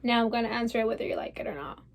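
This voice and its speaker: A woman's voice: on the high side, lively intonation, very fast. A woman performing a theatrical monologue as a defensive, wounded and stubborn young woman, insistent and rambling. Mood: defensive, irritated. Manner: insistent, rambling, piling up lists.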